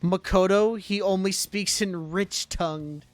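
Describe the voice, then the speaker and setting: A young woman streaming a video game, commenting casually in a medium-pitched voice. She talks in jokey, rambling asides, with faint game music behind her. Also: a little slow, dry recording.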